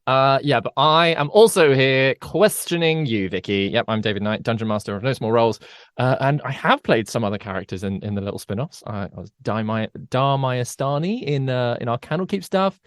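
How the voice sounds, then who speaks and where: A man's voice: medium pitch, animated, a little fast, a bit bright, clear, resonant tone, dry recording, studio quality. A man chatting casually as a podcast co-host and game master.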